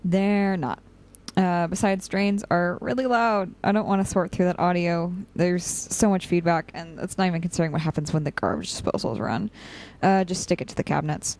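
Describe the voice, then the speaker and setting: A young woman playing a dry, cynical, practical operative in an audio drama, with a deadpan, sardonic way of talking. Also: lowish voice, normal speed, lightly acted. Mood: dry, bantering. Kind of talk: audio drama dialogue and log entries.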